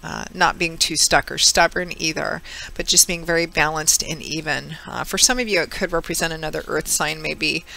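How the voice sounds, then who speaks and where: A woman giving a chatty tarot reading, her voice hoarse and a little froggy from a cold. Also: steady tone, normal speed, sharp tone, slight background noise, poor audio. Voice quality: slightly froggy, squeaky. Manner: chatty, with many fillers. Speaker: tarot reader. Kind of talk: casual monthly tarot reading.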